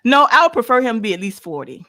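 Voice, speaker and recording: A woman's voice: on the high side, big pitch swings, quick pace, bright, forceful tone, no echo. A woman in her forties chatting casually and playfully with friends on a group show. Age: 40s. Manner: laughing, playful.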